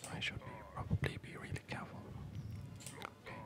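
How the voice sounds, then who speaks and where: A person whispering ASMR gameplay commentary, hesitantly thinking aloud as the host of a gaming video. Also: quick pace.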